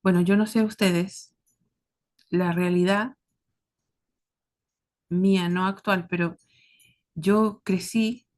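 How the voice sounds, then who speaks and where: A woman with a Chilean accent giving a reflective monologue to her listeners, speaking earnestly and deliberately in short, paused phrases. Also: lowish voice, steady tone, darkish tone. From southern Chile. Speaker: host of a series about caregiving.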